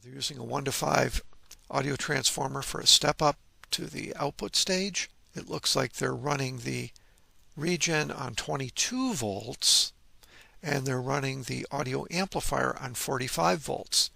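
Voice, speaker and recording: A man giving an informal technical explanation in a measured way, leaning on key words as he presents. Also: mid-range voice, vocal fry, bright, forceful tone, breathy edge, no room sound. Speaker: presenter of a vintage ham radio channel.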